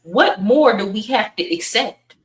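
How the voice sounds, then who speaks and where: A woman's voice: mid-range voice, animated, unhurried. An outspoken woman debating in a casual, conversational way as a panelist on a podcast. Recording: roomy echo, distant from the mic.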